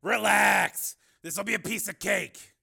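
A man voicing Steele, a tough, impatient armed fighter who talks in forceful, clipped commands in an audiobook. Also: high voice, slow, vocal fry, breathy edge, voice pushed up, exaggerated voice. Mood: brisk, confident.

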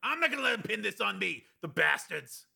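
Big angry blue collar loudmouth, super high strained gravelly voice, foul-mouthed audiobook character. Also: male voice, animated, normal speed, heavily acted. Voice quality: raspy, strained shouting. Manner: blustering, coarse, slangy. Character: big, furious working-class man, hot-tempered and foul-mouthed.

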